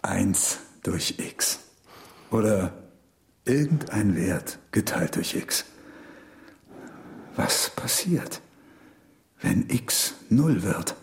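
An actor in a German radio drama playing a grave older researcher who explains things in a halting, didactic manner. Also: male voice, on the low side, lively intonation, creaky, heavily acted. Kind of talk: German radio drama acting, measured dialogue.

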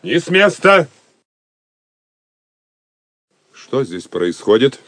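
An actor playing a bold, decisive outlaw archer chief in an audio drama, giving clipped, authoritative orders. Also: male voice, mid-range voice, very animated, unhurried, a bit bright, dry recording. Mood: challenging.

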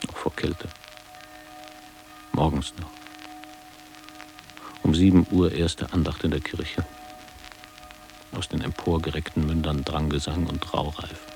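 A very deep-voiced middle-aged man recalls his past in a radio play. His delivery is measured and literary, with a creaky, gravelly grain. Music sits clearly behind his reflective narration. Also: flat delivery, a little mellow, exaggerated voice, low-quality recording. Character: reflective ageing former pupil recalling postwar boarding school. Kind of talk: scripted radio drama narration.

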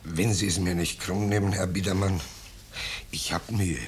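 An actor playing an ingratiating vagrant in a 1950s German radio play, speaking with unctuous false modesty and quiet menace. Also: male voice, low-pitched, fairly even intonation, normal speed, lightly acted. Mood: musing. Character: ingratiating vagrant stranger, slyly menacing, mock-humble. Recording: noisy 1950s radio drama recording.

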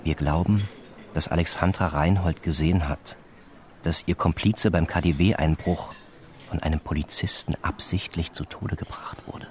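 An actor playing a coaxing, matter-of-fact police officer in a German radio drama. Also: male voice, sits low, fairly even intonation, measured pace. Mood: matter-of-fact persuasion. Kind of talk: German radio play acting.

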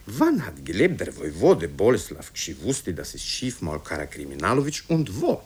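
A man's voice: low voice, huge pitch swings, normal speed, a little mellow, breathy edge, full character voice. Man putting on a florid, anxious schoolteacher. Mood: jubilant urgency. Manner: florid, pompous, wheedling. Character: fawning, anxious male schoolteacher, pompous and pleading.

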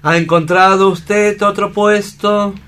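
An actor playing a calm, kindly, somewhat hesitant doctor in a radio drama, speaking in a measured way. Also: male voice, high-pitched. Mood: resigned. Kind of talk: dramatic staged radio reading.

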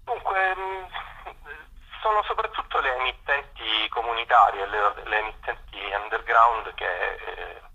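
A man being interviewed on radio by phone, explaining things in a halting way with many pauses. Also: medium pitch, bright, forceful tone, breathy edge. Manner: hesitant, halting with frequent pauses. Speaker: community radio programmer, interview guest. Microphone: phone call. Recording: dull telephone call.

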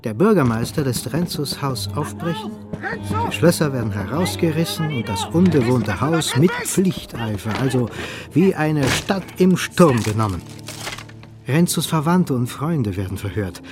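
A man narrating a radio play in a measured, literary and dramatic manner. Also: medium pitch, expressive, music in the background.

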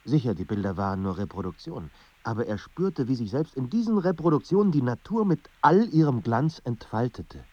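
A man performing as a grave, brooding narrator of literary radio drama, speaking in a measured way with dramatic pauses over a slightly muffled, noisy recording. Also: mid-range voice, sing-song. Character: literary narrator of a troubled young writer's life, grave and brooding.

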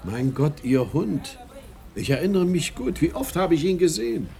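A courteous, concerned man in a radio drama. His creaky voice swings widely up and down in a theatrical way. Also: a little high, sing-song, moderate pace, mellow. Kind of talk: German radio play acting.

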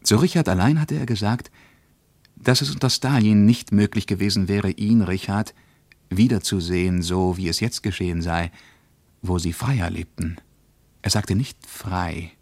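A man playing a reflective first-person narrator recounting a journey in a radio play, with a measured, precise literary delivery. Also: low voice, a little mellow, no echo.

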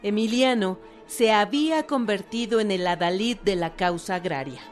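A woman narrates a historical radio production from a script. Her pitch is middling, and music plays clearly behind her. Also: expressive, moderate pace.

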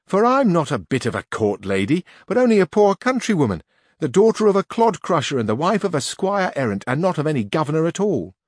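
A man's voice: on the high side, sing-song, brisk, no room sound, clean studio sound, pitched above the performer's own voice. A man voicing a humble, plain-spoken countrywoman past forty in a storybook reading, flustered.

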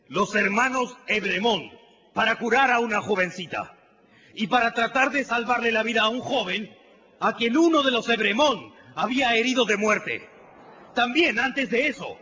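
An actor playing a vengeful revolutionary tavern keeper giving oratorical, impassioned testimony in a radio drama. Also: male voice, very high-pitched, pushed and bright, heavily acted. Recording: dull, noisy radio drama recording.

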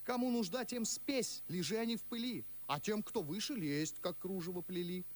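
A man's voice: high-pitched, normal speed, natural voice. An actor playing a fiery, sardonic young Russian nobleman, declaiming verse in a radio drama with biting, outspoken passion. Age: young adult.

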